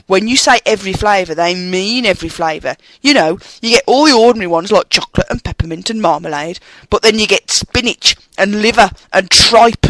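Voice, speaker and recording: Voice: medium pitch, brisk, few pauses, fairly bright tone, dry recording, close to an everyday voice, low-quality recording. A reader voicing a blunt, offhand young wizard boy in a storybook reading.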